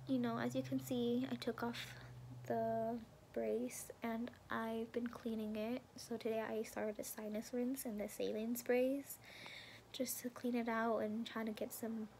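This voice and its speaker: A woman's voice: a little high, gravelly, a little mellow, a little hiss or hum. A young woman chatting casually through a personal vlog update on her recovery, rambling with plenty of fillers and sometimes sounding congested. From California. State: congested and recovering after surgery, sometimes whispering. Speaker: vlogger.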